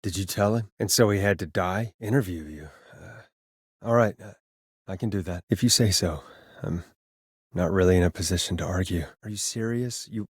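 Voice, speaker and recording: A man's voice: low-pitched, normal speed, husky, no room sound, clean studio sound. A man acting an earnest, wry late-night radio DJ caught in a crisis in a video game, talking conversationally and sometimes hesitantly. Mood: uneasy, pleading. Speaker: radio host.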